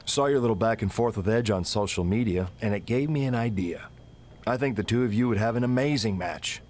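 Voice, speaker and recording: A man's voice: on the low side, a little fast, a little mellow, slight background noise, close to an everyday voice. A man playing a firm, businesslike wrestling general manager in a video game scene. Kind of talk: scripted game dialogue.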